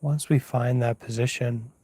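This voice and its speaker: A man speaks as a meditation teacher in a dark, mellow voice with little rise or fall. He is hushed and very unhurried, leaving pauses between short phrases. The delivery is soft, slightly husky and gently instructive. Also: medium pitch, steady tone, no echo. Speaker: Buddhist monk, meditation teacher. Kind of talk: unscripted guided meditation. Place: outdoors at night, lying down under a mosquito net.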